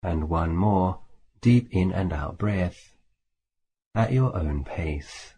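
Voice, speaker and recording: A man leading a guided meditation as a teacher, speaking in a calm, measured way with long pauses between phrases. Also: low-pitched. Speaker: Buddhist monk, meditation teacher. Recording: faint background hiss.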